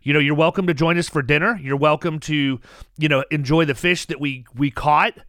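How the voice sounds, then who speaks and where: A man hosting a casual podcast, talking animatedly with many restarts and making pitches to his listeners. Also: brisk, a bit bright, no echo, studio quality. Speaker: podcast host.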